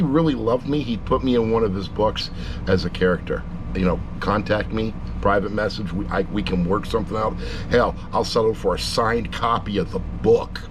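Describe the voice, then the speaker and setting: Man, middle-aged, fairly low pitch, casual rambling reviewer, blunt joking manner, noticeable noise behind him. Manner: jokey, blunt, mock-aggrieved asides. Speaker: audiobook reviewer.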